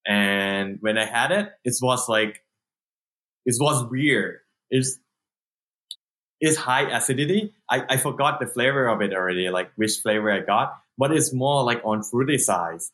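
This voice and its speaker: A young man with a Thai accent talks through an interview in loose, halting English. He leans on "like" and starts phrases over, with easy laughs along the way. His pitch sits in an ordinary middle range. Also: fairly bright tone. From Thailand. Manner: halting non-native English with frequent "like" and restarts, laughs often. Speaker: guest entrepreneur. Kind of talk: casual interview.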